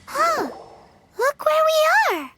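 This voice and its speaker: A woman voicing a tiny childlike fairy companion in a video game, squeaky, curious and fretful. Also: big pitch swings, takes it slowly, pushed and bright, no echo, heavily acted. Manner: inquisitive, doubtful. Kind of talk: English video game voice acting. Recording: game music behind the voice.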